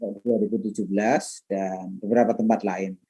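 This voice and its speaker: Mellow middle-aged male lecturer. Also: fairly low pitch, little pitch movement, a little fast, husky. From Madiun, East Java, Indonesia. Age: middle-aged, late 50s. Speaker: lecturer and trainer. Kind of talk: informal class introduction, chatty autobiographical talk.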